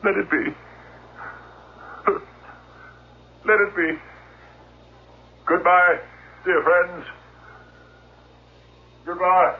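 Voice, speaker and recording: An actor playing a deranged old-school tragedian, declaiming a dying farewell in anguished, halting, theatrical Shakespearean style. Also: male voice, high-pitched, steady tone, a little hiss or hum. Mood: dying, resigned. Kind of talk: tragic Shakespearean stage declamation. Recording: muffled, narrow-band vintage radio broadcast.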